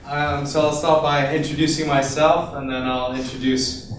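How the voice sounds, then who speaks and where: A young man from Iceland giving a relaxed investor pitch on a conference stage with a handheld mic, full of fillers and restarts. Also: moderate pace, a little hiss or hum. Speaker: venture capital investor. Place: conference room with seated audience. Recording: echoey room, voice from far off.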